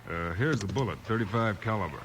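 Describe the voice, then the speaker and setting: An actor playing a calm, resolute lawman hero in a 1950s radio western. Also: on the low side, quick pace, natural voice. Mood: businesslike. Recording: faintly noisy old radio recording.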